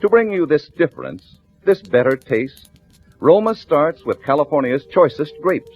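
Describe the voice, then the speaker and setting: A man's voice: fairly high pitch, big pitch swings, moderate pace, breathy edge. An American man reading a scripted 1940s radio advertisement as an announcer, polished and emphatic. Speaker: commercial announcer. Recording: tinny vintage radio recording with music.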